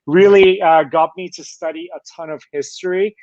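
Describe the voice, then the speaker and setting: Higher-voiced man on dull, dry call. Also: steady tone, moderate pace, darkish tone, no echo. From Turkey, later Scotland. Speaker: guest, company founder. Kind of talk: conversational interview storytelling.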